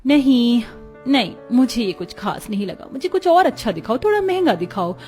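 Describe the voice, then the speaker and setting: A woman voicing a demanding, boastful young sister-in-law in an animated family story. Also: fairly high pitch, normal speed, soft background music, pitched above the performer's own voice. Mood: insistent. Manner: insistent, haughty.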